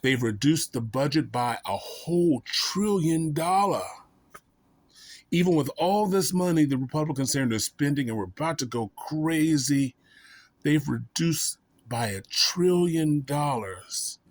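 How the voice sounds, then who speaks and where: A man's voice: a little high, lively intonation, a little slow, no room sound. A man vlogging in a casual, comedic storytelling style, rambling and folksy, often making fun of himself. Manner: rambling, self-mocking, folksy.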